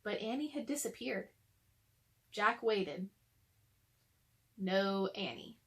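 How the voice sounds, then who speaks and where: A woman's voice: medium pitch, takes it slowly, a bit bright, poor audio. A young adult woman chatting casually to children and reading a storybook aloud to them.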